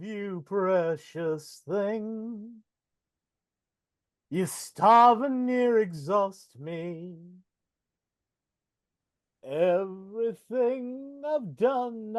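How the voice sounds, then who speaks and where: A woman singing as the male Goblin King, a proud ruler, slowly and intensely in a live reading over a video call. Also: medium pitch, a little mellow. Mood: yearning. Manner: slow, intense sung lines. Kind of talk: singing in a live read-through. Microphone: computer mic on a video call.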